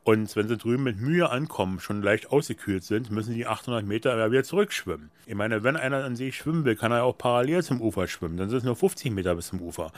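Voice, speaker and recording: A man's voice: medium pitch, lively intonation, quick pace, few pauses, no echo. An older man explaining things in an interview as a veteran lifeguard chief. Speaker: head of lifeguard operations.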